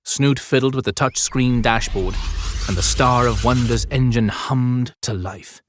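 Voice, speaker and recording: A man narrating a fantasy audiobook as scripted storytelling, with measured, clearly phrased delivery. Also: mid-range voice, slight background noise.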